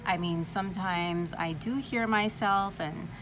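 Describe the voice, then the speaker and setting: A woman's voice: lowish voice, unhurried. A woman from California with a slight lisp, talking casually to camera in a chatty, dramatic way and swearing freely. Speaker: vlogger. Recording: noisy background.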